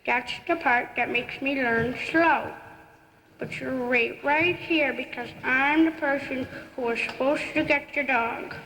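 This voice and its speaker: A woman voicing a gentle young vet's assistant in a live puppet show, speaking slowly in halting, simple phrases. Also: high-pitched. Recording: echoey hall, faint noise.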